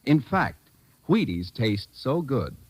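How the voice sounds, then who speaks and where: Husky, animated American radio announcer man. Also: mid-range voice, slow pace, no room sound. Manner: hearty, salesmanlike. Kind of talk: 1950s radio commercial announcing. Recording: vintage 1950s radio recording.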